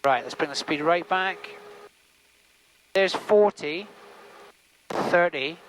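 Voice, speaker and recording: A man giving hands-on flying instruction in an informal, step-by-step way, often checking in with a quick okay. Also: fairly high pitch. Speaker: helicopter flight instructor. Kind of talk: hands-on in-flight instruction with casual banter. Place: small helicopter cockpit in flight. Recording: engine noise inside helicopter cockpit.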